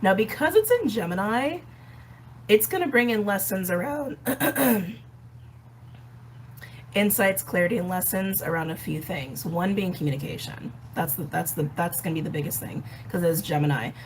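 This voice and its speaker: A woman with a hoarse, raspy voice, host of an astrology channel, explaining the planets in a chatty way with frequent ums. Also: medium pitch, animated, normal speed, slight background noise, poor audio. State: hoarse, recovering from a respiratory illness. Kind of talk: casual explanatory astrology talk.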